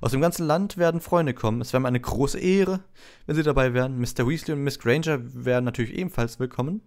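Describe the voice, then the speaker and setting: A man voicing a courteous, pompous, excitable old ghost in a storybook, speaking formally and eagerly. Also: medium pitch, quick pace, voice pushed up, close to an everyday voice. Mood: dignified, proud.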